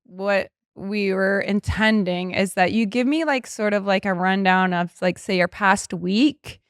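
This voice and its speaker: A woman's voice: mid-range voice, unhurried, clean tone, no echo. Chatty comedian woman with a dry close mic. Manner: chatty, laughs often, many fillers. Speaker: podcast host, stand-up comedian and teacher. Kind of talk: casual podcast chat.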